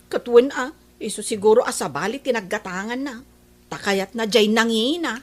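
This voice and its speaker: A woman's voice: on the high side, normal speed, lightly acted. A woman playing a scolding market fish-vendor wife in an Ilocano comedy radio drama, with an Ilocano accent. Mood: resentful. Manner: scolding, loud vendor calls. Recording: noisy mix with faint crowd behind.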